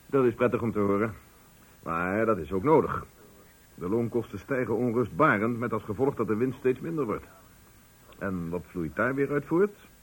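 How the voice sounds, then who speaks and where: An actor playing a hard-nosed, pompous factory director in a radio play, condescending and scornful of his workers, with a husky voice. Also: fairly low pitch, expressive, normal speed. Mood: measured, formal. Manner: pompous, condescending, rhetorical questioning. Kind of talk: Dutch radio play acting.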